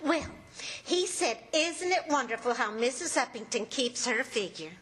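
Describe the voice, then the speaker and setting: An actress playing a teasing, folksy, affectionate wife with a husky voice in old-time radio comedy. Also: female voice, on the high side, expressive, normal speed, fairly bright tone, faint music behind. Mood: cheerful, folksy.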